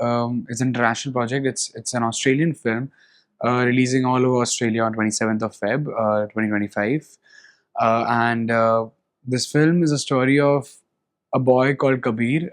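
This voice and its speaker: A young Indian man with an Indian English accent, an actor being interviewed, chatting animatedly with frequent laughs and fillers. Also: medium pitch. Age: young adult. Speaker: actor guest. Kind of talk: casual interview, mixing English and Hindi.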